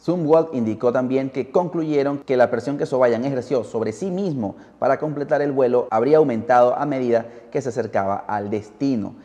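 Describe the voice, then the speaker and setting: A man's voice: medium pitch, normal speed, few pauses, dark tone. A man hosting a podcast, narrating from a script in a storytelling style. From Peru. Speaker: podcast host. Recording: muffled, heavy hall-like reverb.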